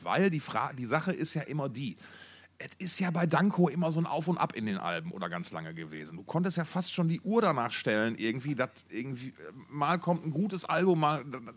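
A man's voice: fairly high pitch, husky. A middle-aged man co-hosting a music podcast in casual, unscripted chat, enthusiastic and colloquial, often repeating his words.

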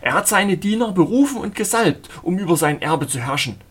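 Man doing a fervent ranting preacher, husky, pitched high and loud, audiobook. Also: animated, brisk, heavily acted. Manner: shouting, declamatory. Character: fanatical zealous male preacher, ranting.

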